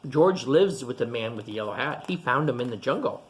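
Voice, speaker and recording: Animated children's storytime reader. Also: medium pitch, a little fast, a little hiss or hum. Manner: simple explanatory asides addressed to young listeners. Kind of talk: reading a picture book aloud to children with asides.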